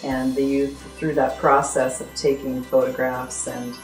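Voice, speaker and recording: A woman's voice: extremely low pitch, flat delivery, a little slow. A woman speaking earnestly and emphatically as a project coordinator in an interview. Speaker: youth arts project coordinator. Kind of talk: interview to camera. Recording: roomy, faint music behind. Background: music.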